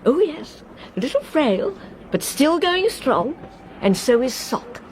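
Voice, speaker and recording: A high, swooping English voice as a genteel spinster governess in a radio drama. She is chatty, kindly and plucky, fluttering along effusively over clear train noise. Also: big pitch swings, slow pace, a little mellow, full character voice, low-quality recording. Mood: fond, cheerful. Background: train ambience.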